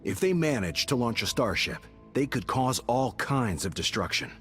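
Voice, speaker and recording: A man's voice: mid-range voice, sing-song, moderate pace, fairly bright tone. An actor playing a blunt, sceptical male squad member in scripted tactical game dialogue. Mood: serious. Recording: faint background music.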